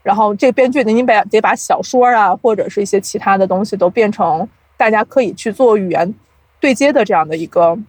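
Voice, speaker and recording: A woman's voice: medium pitch, brisk, a little mellow, no echo. A woman guest on a podcast explaining things casually, like a storyteller, with self-deprecating asides. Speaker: podcast guest, audio drama producer.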